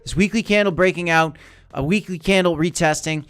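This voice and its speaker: A man hosting a casual crypto live stream, chatty and hyped, calling out viewers by name, his voice going hoarse. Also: high voice, brisk, fairly bright tone. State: losing his voice.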